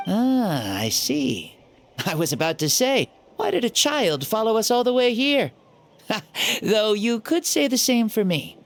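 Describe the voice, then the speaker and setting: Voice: medium pitch, very animated, a little slow. An actor voicing a playful ancient hero spirit in a young boy's body, cocky and theatrical, for a video game. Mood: assured.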